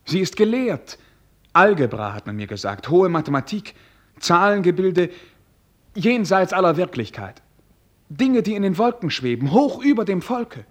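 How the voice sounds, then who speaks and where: An actor playing a stiff, naive young prince, formal, earnest and hesitant, in a German radio play. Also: male voice, high-pitched, very animated, normal speed. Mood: troubled, awed.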